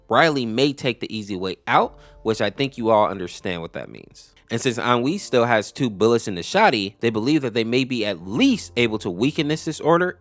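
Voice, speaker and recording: A man's voice: lowish voice, fairly bright tone. Man narrating casually over music. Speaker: YouTube host explaining animated horror lore. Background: background music.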